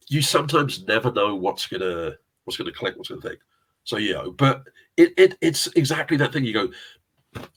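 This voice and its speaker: A man's voice: medium pitch, fairly bright tone, husky. A middle-aged man with a South London accent, telling rambling film-industry anecdotes in a casual chat, laughing often and swearing now and then. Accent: South London English. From England. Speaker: interview guest, casting director and actor.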